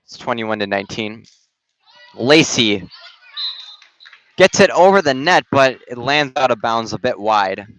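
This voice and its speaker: A young man doing amateur play-by-play for a volleyball game over a headset, his voice slightly raspy, casual and halting with restarts. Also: medium pitch, animated, a bit bright. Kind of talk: amateur sports commentary. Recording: dull, dry gym-stream audio.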